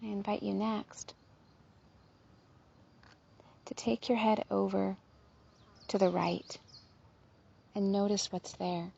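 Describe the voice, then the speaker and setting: A woman leading a guided body scan meditation in a gentle, soothing, unhurried manner, with a soft, breathy, slightly husky voice. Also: mid-range voice, fairly even intonation, lo-fi sound.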